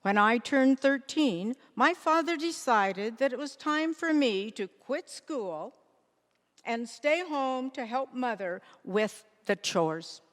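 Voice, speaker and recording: A woman performing a dignified, resolute nineteenth-century women's rights pioneer in a scripted stage monologue, with measured, deliberate oratory. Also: a little high, lively intonation. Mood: proud, composed. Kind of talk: scripted readers theater monologue. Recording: echoey hall with long reverb.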